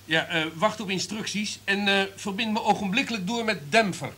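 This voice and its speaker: Imperious, sharp-voiced radio boss. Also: male voice, high-pitched, normal speed, slight background noise. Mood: brisk, commanding. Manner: imperious, authoritative. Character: ruthless, commanding radio station publicity director. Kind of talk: radio drama acting.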